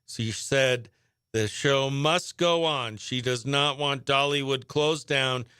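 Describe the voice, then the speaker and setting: A man's voice: mid-range voice, fairly even intonation, takes it slowly, sharp tone, clear, resonant tone, dry recording, clean studio sound. A man hosting a country radio morning show, presenting live and chatting in a hyped, showy, playful announcer's manner.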